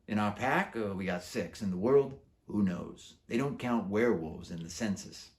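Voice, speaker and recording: A man's voice: fairly low pitch, normal speed, darkish tone, natural voice. A man voicing a brash, boastful, streetwise male werewolf in a children's storybook, with slangy, chummy, showy talk. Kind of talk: children's book character voice.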